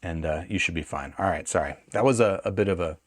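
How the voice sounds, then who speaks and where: Male reviewer, lower register, conversational and rambling, thinking aloud, close dry sound. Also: fast talker, no room sound. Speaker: gadget reviewer. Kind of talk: casual hands-on product review.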